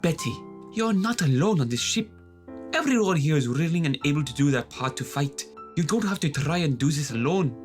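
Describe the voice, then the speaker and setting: A man's voice: fairly high pitch, expressive, brisk, faint music behind, lightly acted. A man playing an earnest, slightly hesitant ship's doctor and inventor in a sci-fi audio drama. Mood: wry, caring.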